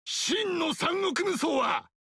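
An actor playing a boisterous, burly barbarian king who shouts rough battle cries and boasts loudly in a video game. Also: very high voice, sing-song, takes it slowly, no room sound, clean studio sound, full character voice. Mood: brash boasting.

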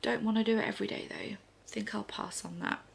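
A woman in her thirties chatting to camera in a soft, often half-whispered way on a living room sofa, a little congested. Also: mid-range voice, fairly even intonation, brisk, creaky, husky. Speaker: vlogger. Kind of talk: casual morning chat to camera.

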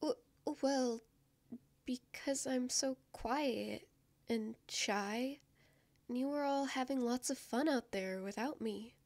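A woman acts out a gentle, anxious young winged woman from a fantasy audio drama. Her voice is high and soft. She speaks slowly, stammering and self-effacing. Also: no room sound. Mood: touched, bashful. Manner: hesitant, stammering, soft-spoken.

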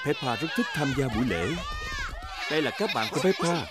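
A man's voice: medium pitch, big pitch swings, fairly quick, over a noisy crowd, very noisy, natural voice. A man narrating a children's cartoon as a friendly storyteller, over music. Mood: storytelling.

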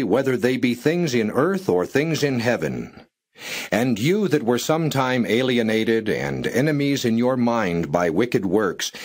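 A man giving a plain, measured reading of scripture, phrase by phrase. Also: mid-range voice, breathy edge. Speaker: scripture reader.

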